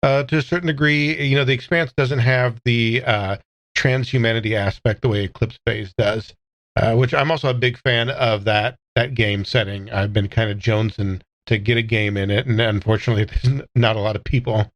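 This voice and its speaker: A man's voice: mid-range voice, steady tone, brisk, fairly bright tone. A man chatting casually on a podcast, recorded on a slightly dull microphone. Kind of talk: casual chat.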